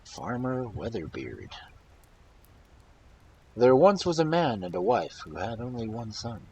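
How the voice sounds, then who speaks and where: A man reading folktales aloud as host, in an expressive way with dramatic pauses and casual asides. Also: medium pitch, a little hiss or hum. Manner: animated, dramatic pauses and emphasis. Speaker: storyteller and host of a live reading stream.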